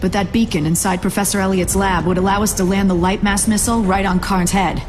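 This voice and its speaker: A woman's voice: mid-range voice, steady tone, fast, background noise, lightly acted. A woman playing an earnest, dutiful young military academy cadet in a video game, speaking formally and precisely. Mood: somber, proud. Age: young adult. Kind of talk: video game cutscene acting and narration. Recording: music behind.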